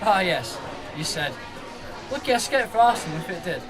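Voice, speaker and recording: Man acting a high-voiced, chatty barman. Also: sing-song, moderate pace. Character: chatty, obliging, cheerful male pub barman. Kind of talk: radio play acting. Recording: loud music and busy pub crowd.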